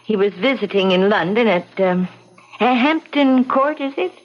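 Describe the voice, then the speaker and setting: An actress playing an anxious, deferential housekeeper in an old radio drama, speaking politely and hesitantly. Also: female voice, medium pitch, lively intonation, normal speed, faint music behind, lightly acted. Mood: nervous, deferential. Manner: hesitant, polite, fussing, trailing off. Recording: muffled, hissy old radio drama recording.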